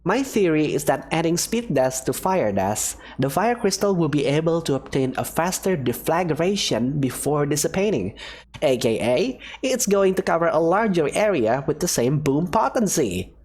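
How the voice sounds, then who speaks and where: A woman's voice: sits low, big pitch swings, normal speed, darkish tone. A woman voicing a cheerful, reckless female professor who lectures breezily and teases her assistant. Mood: confident. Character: eccentric, cheerful female dust science professor. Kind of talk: fan game voice acting.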